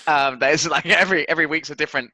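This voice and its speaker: A man's voice: a little high, very fast, bright, forceful tone, dry recording. A man co-hosting a football podcast in a casual, matey chat, often repeating himself.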